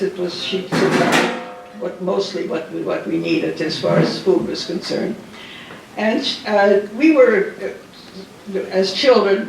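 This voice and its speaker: An older man giving a rambling, halting talk about his childhood, with many restarts and fillers, recorded in a large hall at a dinner event. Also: sits high, creaky, slight background noise. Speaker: guest speaker. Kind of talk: personal wartime memoir talk. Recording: echoey hall heard from a distance.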